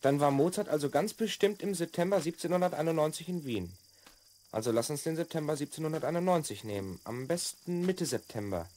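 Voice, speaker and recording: A man's voice: on the high side, expressive, brisk, darkish tone. An actor playing a confident twelve-year-old boy from the future in a children's audio drama, explaining and instructing with assurance. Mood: calm, focused. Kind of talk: 1970s German children's audio drama acting.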